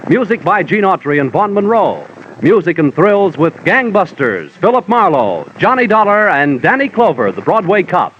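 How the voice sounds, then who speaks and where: A man's voice: high voice, big pitch swings, moderate pace. A man announcing promotions in 1940s radio style, with a polished, punchy salesman's delivery. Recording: muffled, slightly noisy vintage radio.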